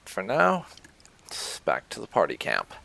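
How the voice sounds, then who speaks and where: A man's voice: sits low, wildly swooping pitch, a little slow, creaky, pushed and bright. A man hosting a gaming playthrough, giving casual commentary with light roleplay. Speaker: gaming video host.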